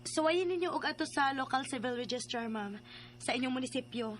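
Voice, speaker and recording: A woman's voice: high-pitched, animated, brisk. A woman playing an outspoken, matter-of-fact female figure in a radio drama.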